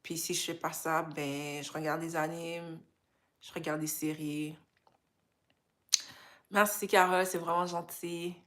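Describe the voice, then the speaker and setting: Tired québécois girl chatting w her viewers, kinda low voice, laid back, drops english bits in. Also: unhurried, long pauses. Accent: Québécois French. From Canada. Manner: relaxed, mixes in English phrases. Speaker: livestream host. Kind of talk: casual livestream chat with viewers.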